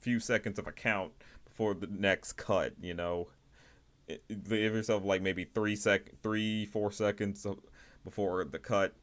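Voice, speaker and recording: A man hosting a livestream, giving casual, rambling commentary in a halting way, often repeating and rephrasing himself. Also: on the low side, steady tone. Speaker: livestream host reviewing indie animation.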